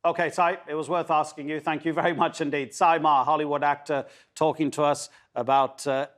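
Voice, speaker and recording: A man's voice: fairly high pitch, level tone, a bit bright. Man questioning as news anchor. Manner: measured, long probing questions. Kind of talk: formal news interview.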